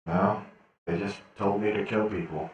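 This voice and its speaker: A man's voice: fairly low pitch, fairly even intonation, normal speed, lo-fi sound. A man playing a captured hired killer in a sci-fi game role-play, laconic and casual, with hesitant answers and a reverberant voice. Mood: flat, evasive. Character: captured male hired killer, laconic, menacing attack dog. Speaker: role-player in an online game. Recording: heavily echoing, distant-sounding voice.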